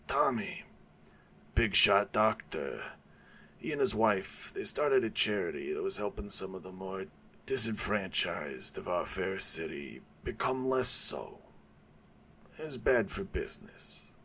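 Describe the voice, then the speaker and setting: A man's voice: low-pitched, expressive, measured pace, bright, forceful tone, slight background noise, heavily acted. A man voicing a dying old male mob boss who chats casually about his crimes. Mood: sly, reminiscing. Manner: chatty, boastful storytelling.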